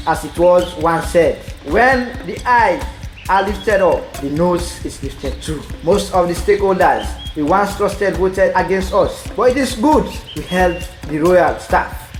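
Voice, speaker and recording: A man playing an aggrieved village faction leader, speaking in a measured, oratorical way in an audio drama. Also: high-pitched. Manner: measured, oratorical, proverb-laden. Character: aggrieved male village faction leader rallying his men. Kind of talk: amateur audio drama acting. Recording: echoey room with music underneath.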